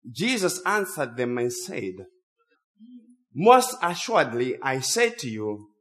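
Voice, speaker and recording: A man's voice: a little high, fairly bright tone. A man from Zimbabwe, for whom English is a second language, preaching a sermon as a guest speaker while he has a cold. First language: not English. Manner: slow, drawn-out emphatic phrasing.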